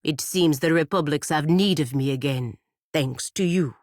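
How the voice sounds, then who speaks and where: Actress as proud fantasy warrior woman, stern patriot. Low, dry-recorded voice, measured and formal game dialogue. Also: a little mellow, no room sound, lightly acted. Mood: formal, reserved. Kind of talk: scripted video game character dialogue.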